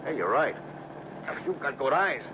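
Actor as animated, anxious American sidekick. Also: male voice, mid-range voice, normal speed, natural voice. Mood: eager. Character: excitable, anxious male sidekick of a detective. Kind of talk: old-time radio adventure acting. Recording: muffled, noisy vintage radio recording.

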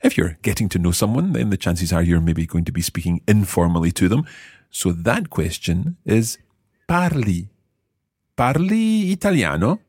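A man with a low, slightly husky voice leads a language lesson. He talks with a lively rise and fall, repeating phrases slowly so they sink in. The sound is dry and close. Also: no echo. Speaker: language teacher and host. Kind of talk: explaining and quizzing co-hosts.

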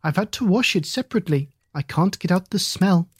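A woman's voice: fairly low pitch, expressive, fairly quick, husky, no room sound, clean studio sound. A woman voicing a stout, anxious female hedgehog washerwoman who talks in a deferential, old-fashioned polite way. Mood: fussy polite.

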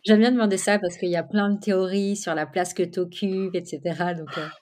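A woman hosting a podcast, asking a guest gentle, inviting questions. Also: mid-range voice, steady tone, a little fast. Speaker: podcast host. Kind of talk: interview questions.

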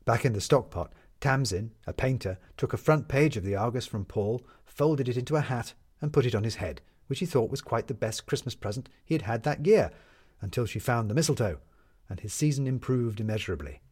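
A man narrating a scripted story in a measured, lyrical storytelling manner. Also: mid-range voice, animated, a little mellow, breathy edge.

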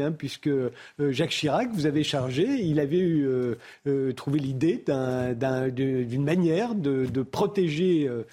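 Man, debate host, fairly high pitch, mellow, husky, hesitant phrasing. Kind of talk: hosting radio debate, spontaneous questioning.

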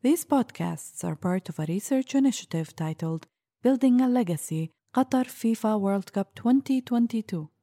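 A woman's voice: mid-range voice, animated, normal speed, dark tone, dry recording, clean studio sound. A woman reading a formal scripted podcast introduction. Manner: formal, read aloud. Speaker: podcast announcer.

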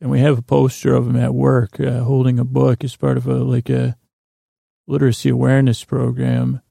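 Man, heavy vocal fry, dark soft tone, halting and digressive, rambling bedtime podcast monologue. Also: medium pitch, completely flat delivery, no echo, clean studio sound. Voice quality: gravelly, creaky. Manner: meandering, halting, digressive, loses his thread. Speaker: podcast host. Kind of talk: rambling bedtime monologue meant to help listeners sleep.

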